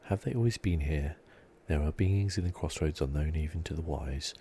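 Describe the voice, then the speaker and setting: Very deep, creaky man doing ASMR. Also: normal speed, a little hiss or hum. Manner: soft, relaxed, musing. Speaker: gaming channel host. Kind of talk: ASMR video game playthrough commentary.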